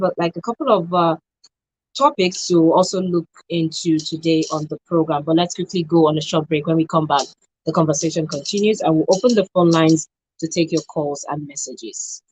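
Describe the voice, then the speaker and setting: A woman's voice: on the low side, a little mellow, no echo, poor audio. A woman with a Nigerian accent hosting a radio talk show.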